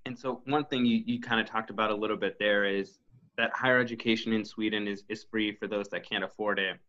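A man hosting an interview, asking prepared, deliberate questions. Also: on the low side, fairly even intonation, sharp tone. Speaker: podcast host. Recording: dull lo-fi sound.

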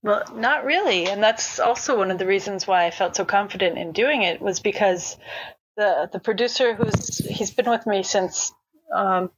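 A woman's voice: mid-range voice, normal speed, slightly rough, dry recording. A middle-aged woman with an American accent, a conference organizer, talking casually in an interview with many fillers and restarts. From United States. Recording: dull lo-fi sound.

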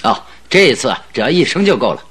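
A clever detective in a radio drama. His middle-pitched voice moves up and down with great animation as he lays out schemes, confident and persuasive. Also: very animated, fast talker, a bit bright, husky. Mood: confident scheming. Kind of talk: Chinese radio drama acting.

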